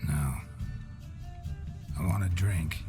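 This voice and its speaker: An actor playing a gruff, weary monster hunter in a video game, speaking in terse, deadpan phrases. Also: male voice, sits low, slow pace. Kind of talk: dramatic video game dialogue. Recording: game music behind the voice.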